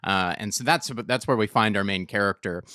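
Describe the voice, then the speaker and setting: A man's voice: lowish voice, brisk, pushed and bright, clear, resonant tone, no echo, clean studio sound. A young American man chatting casually as a podcast co-host, in a rambling way, often cutting himself off mid-thought. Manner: rambling, self-interrupting. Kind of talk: casual chat about a film.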